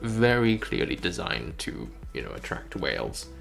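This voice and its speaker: A man's voice: low voice, very animated, moderate pace, creaky, a bit bright. A man streaming in a casual, rambling way, thinking aloud with hesitant phrasing. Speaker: streamer, game developer. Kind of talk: casual livestream chat. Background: music.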